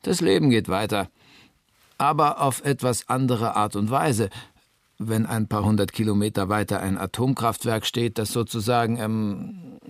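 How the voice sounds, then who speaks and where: Guy acting reflective husband telling his story on radio, measured, scripted, dry sound. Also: mid-range voice, no room sound, lightly acted. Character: thoughtful husband recalling his flight from disaster. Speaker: narrator of a personal account. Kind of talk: scripted radio documentary narration.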